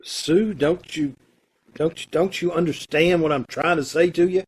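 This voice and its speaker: A man's voice: a little high, normal speed, breathy edge. A man playing a brooding, self-loathing drifter in an old time radio drama, with blunt, slangy tough-guy talk. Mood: agitated, despairing.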